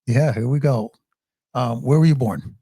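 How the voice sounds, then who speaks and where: A man's voice: mid-range voice, unhurried, mellow, husky, no echo. A man hosting a podcast interview, upbeat, introducing his guest from notes with some fillers. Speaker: podcast host.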